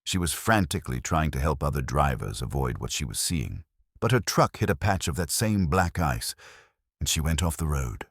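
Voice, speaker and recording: A man's voice: very deep voice, expressive, normal speed, husky, no room sound, studio quality. A man narrating scripted first-person trucker horror tales in a dramatic, suspenseful way.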